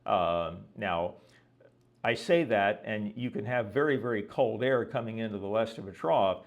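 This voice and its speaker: A man's voice: fairly low pitch, moderate pace, muffled recording. A man giving an explanatory lesson as a weather presenter, talking conversationally and often checking in with the listener. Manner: didactic, conversational, frequently checking with "okay?". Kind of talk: explanatory lecture with visual examples.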